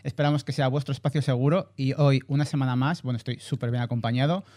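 A man's voice: medium pitch, steady tone, fast talker, darkish tone. A man with a Spain Spanish accent hosting a casual podcast, welcoming listeners and introducing his co-hosts. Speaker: podcast host.

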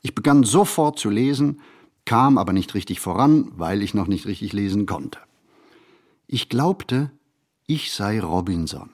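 Casting: a melancholy, self-ironic writer in a stage monologue, rough husky low voice, deliberate literary phrasing. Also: male voice, darkish tone. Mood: reflective. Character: male writer looking back on a poor childhood, wry and melancholy. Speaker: actor performing a literary monologue. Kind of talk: literary first-person monologue, theatrical recitation.

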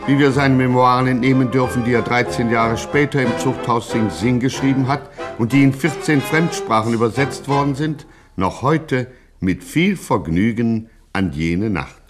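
Ein Mann erzählt als Sprecher eines Hörspiels förmlich, ironisch und mit der Geste eines Conférenciers. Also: male voice, medium pitch, moderate pace, hardly any pauses. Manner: formal, showman-like, tongue-in-cheek. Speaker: radio play narrator. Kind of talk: scripted, ironic narration. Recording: music playing under the narration.

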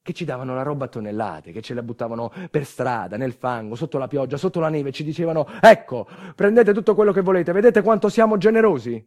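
An actor playing a bitter, resigned earthquake survivor in a theatrical storytelling monologue, sardonic and folksy, with wry asides. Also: male voice, fairly high pitch, quick pace, hardly any pauses, darkish tone, lightly acted. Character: bitter, resigned southern Italian earthquake survivor telling his tale.

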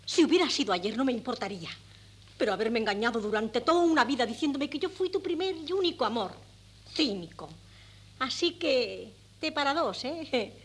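Husky, high-voiced theatrical mother. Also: lively intonation, moderate pace. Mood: indignant, wounded. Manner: melodramatic, exclamatory. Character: theatrical middle-aged mother and wife, indignant, meddling matchmaker. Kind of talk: 1970s Spanish radio comedy acting. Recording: old cassette of 1970s radio play.

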